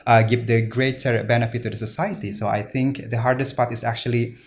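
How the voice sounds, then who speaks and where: Earnest Indonesian man, halting. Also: medium pitch, steady tone, a little hiss or hum. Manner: earnest, many fillers and restarts. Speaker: guest speaker. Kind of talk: interview answers.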